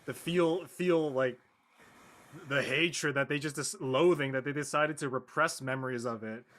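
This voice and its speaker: A man chatting casually in a panel, halting and playful as he talks. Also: medium pitch, fairly bright tone.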